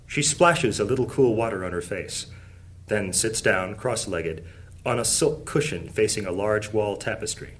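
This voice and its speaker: Low-voiced man as a solemn fantasy storyteller. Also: normal speed, a bit bright, a little hiss or hum, close to an everyday voice. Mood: solemn, measured. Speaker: narrator. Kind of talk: scripted fantasy audio drama narration.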